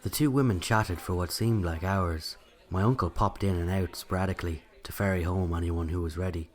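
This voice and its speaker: A man's voice: low voice, a little fast, natural voice. An Irish man playing a narrator who recalls a night from his childhood, in scripted first-person storytelling. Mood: reflective.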